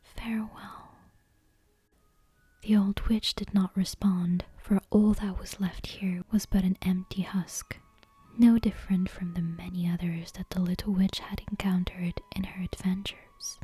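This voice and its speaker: Female ASMR host. Whispered, very airy delivery, soft and dark, close-miked in a dry space. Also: on the low side, flat delivery, unhurried, no room sound. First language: non-native English speaker. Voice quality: breathy, unvoiced whisper. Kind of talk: whispered ASMR bedtime reading and casual chat. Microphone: close microphone.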